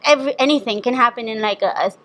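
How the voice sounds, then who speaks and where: A woman with a high, bright voice hosts a casual interview, talking fast and loose with plenty of "like." She jokes at her own expense and keeps her tone light. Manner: chatty, says "like" a lot, self-deprecating about her voice. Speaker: podcast host.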